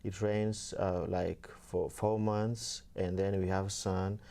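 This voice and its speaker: A young man from Kenya, a seminarian guest, talking casually and softly with many fillers. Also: low voice, flat delivery, slow, vocal fry. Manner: soft-spoken, many fillers, laughs easily. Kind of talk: casual interview talk.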